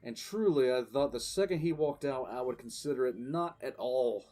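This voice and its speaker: A man playing a weary, cool-headed military commissar, recounting his past in dramatic, deliberate first-person narration over faint music. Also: mid-range voice, animated, warm tone, close to an everyday voice. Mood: weary, bemused. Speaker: narrator and lead voice actor. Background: faint background music.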